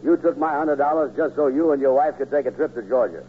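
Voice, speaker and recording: A man playing a gullible, slow-witted, easily riled fellow with folksy, ungrammatical speech in a 1940s radio comedy. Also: medium pitch, natural voice. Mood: angry threatening. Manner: folksy ungrammatical talk, dim. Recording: old tinny radio recording with hum.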